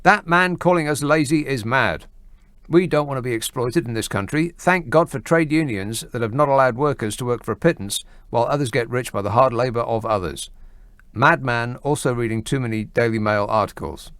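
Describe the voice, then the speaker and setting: A man's voice: mid-range voice, fairly quick, fairly bright tone, no room sound. A man hosting a late-night radio phone-in, musing wryly and often restarting his thoughts, at a studio microphone. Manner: wry, musing, trails off and restarts.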